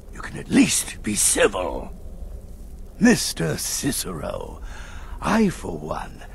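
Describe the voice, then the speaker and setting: Gravelly, haughty old wizard. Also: male voice, mid-range voice, a little hiss or hum, exaggerated voice. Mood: scolding.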